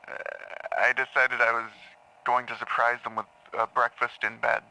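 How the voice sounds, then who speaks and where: A man playing a troubled patient in an audio drama, haltingly confessing dark memories to a therapist, stammering and repeating words. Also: mid-range voice, little pitch movement, poor audio. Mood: subdued, hesitant. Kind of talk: audio drama acting, intimate confessional monologue.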